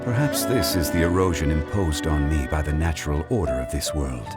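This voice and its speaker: A man playing a calm ancient god in human guise in a video game, speaking in a measured, formal way. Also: rumbling low. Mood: solemn, reflective. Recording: music playing behind the voice.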